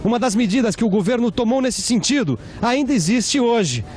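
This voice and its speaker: Male presenter, Brazilian, scripted educational narration, pitched high. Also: expressive, fairly quick, darkish tone, slight background noise.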